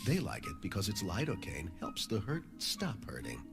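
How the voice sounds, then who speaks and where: A woman's voice: rumbling low, wildly swooping pitch, normal speed, creaky, over music. A woman reading scripted advertising copy as a commercial announcer.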